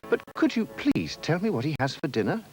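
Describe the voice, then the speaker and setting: A small, curious elephant calf, voiced high and thin by a man reading aloud. He pleads with breathless politeness and swings up and down in pitch. A storybook reading with music clearly playing underneath. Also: wildly swooping pitch, brisk, rough recording. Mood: polite pleading.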